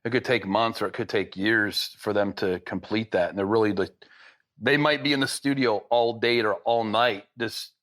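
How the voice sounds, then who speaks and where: A man's voice: on the low side, steady tone, moderate pace, fairly bright tone. A man hosting a podcast interview, talking in a loose, rambling way full of fillers. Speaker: podcast host. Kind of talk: casual interview chat.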